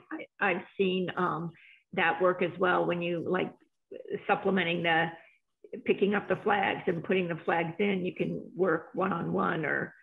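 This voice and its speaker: A woman's voice: on the low side, creaky. A woman making casual, meandering remarks at a club meeting over a video call. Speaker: club member. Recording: tinny phone-like line.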